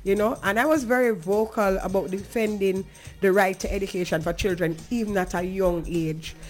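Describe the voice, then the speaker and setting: A woman's voice: mid-range voice, steady tone, normal speed, faint music behind. A woman with a Jamaican accent talking earnestly and conversationally as a talk show host, emphatic and now and then slipping into patois.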